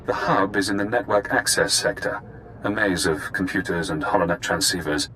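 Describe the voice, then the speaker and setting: A calculating, engineered prisoner from a sci-fi game. The voice sits very low, with a cool and measured delivery that sounds quietly sinister. A faint rumble runs underneath. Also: male voice. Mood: clinical. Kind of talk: video game voice acting.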